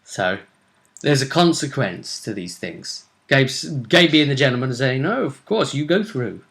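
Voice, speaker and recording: A man's voice: medium pitch, sing-song, unhurried, vocal fry, a bit bright. A man, hosting a vidcast and giving a chatty, casual recap of a tabletop role-play tournament. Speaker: vidcast host.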